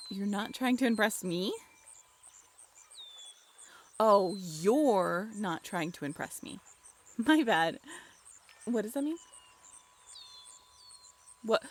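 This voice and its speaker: A woman acting a bashful, affectionate young writer on a date in an intimate audio roleplay, halting and playfully teasing. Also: a little high, heavily acted. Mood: surprised, touched.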